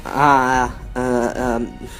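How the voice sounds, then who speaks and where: A man's voice: medium pitch, flat delivery, extremely slow, fairly bright tone, faint music behind. A man playing a nervous, evasive teenage echidna son in a comic dub. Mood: embarrassed, anxious.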